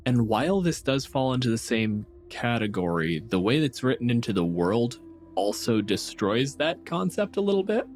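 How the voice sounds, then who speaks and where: A man's voice: mid-range voice, big pitch swings, moderate pace, darkish tone, soft background music. A man hosting a casual panel discussion, talking in an explanatory, analytical way. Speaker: podcast host.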